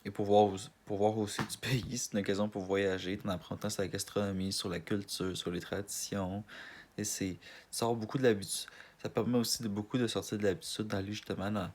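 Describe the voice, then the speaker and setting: Casting note: young Montreal man, Québécois accent, slightly low voice, halting and unpolished. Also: steady tone, darkish tone, a little hiss or hum. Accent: Québécois French. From Montreal, Canada. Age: 20s. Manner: hesitant, rambling, many fillers and restarts. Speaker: casting applicant. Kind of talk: self-introduction video.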